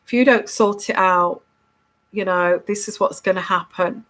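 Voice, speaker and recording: A woman talks through a congested, bunged-up nose. Her pitch sits in an ordinary middle range, and she chats casually to her audience, checking in with a frequent "okay?" and tripping over repeated words. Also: normal speed, a bit bright. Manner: chatty, keeps tagging "okay?", many restarts. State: congested from a cold. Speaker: tarot reader hosting her channel. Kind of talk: casual solo video monologue.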